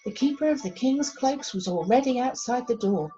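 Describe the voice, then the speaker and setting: A woman reading a children's storybook aloud as expressive narration. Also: a little high, normal speed, lo-fi sound, soft background music. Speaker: primary school story reader.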